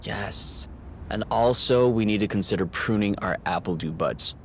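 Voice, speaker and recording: A man playing an easygoing young man, a gentle partner in casual video game dialogue. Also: fairly low pitch, moderate pace, slight background noise, natural voice. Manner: easygoing, conversational. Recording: prominent music behind the voice.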